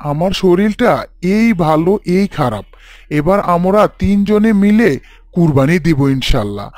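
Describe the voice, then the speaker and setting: A man plays a gentle middle-aged father in an animated story, his voice pitched fairly high and swinging lively up and down. He is affectionate and homely, and the recording is dry and close. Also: moderate pace, no room sound. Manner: affectionate, calls everyone "son".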